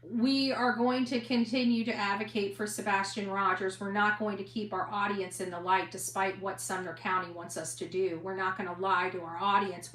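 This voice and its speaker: Female online reporter-host, forceful bright tone, emphatic and defiant delivery, echoey room. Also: mid-range voice. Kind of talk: impassioned monologue to online audience.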